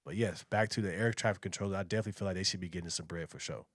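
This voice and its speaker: A man's voice: low-pitched, very fast. An American man giving casual, opinionated commentary as a reaction video host, in slangy, conversational speech. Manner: colloquial, slangy, conversational delivery.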